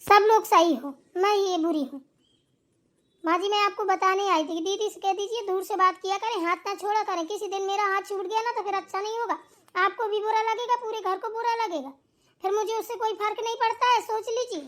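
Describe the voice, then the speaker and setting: A woman voicing a quick-tempered young daughter-in-law in a family cartoon, arguing and complaining with sarcasm. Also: extremely high pitch, steady tone, fairly bright tone. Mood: defiant, angry. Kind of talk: amateur Hindi family cartoon dialogue.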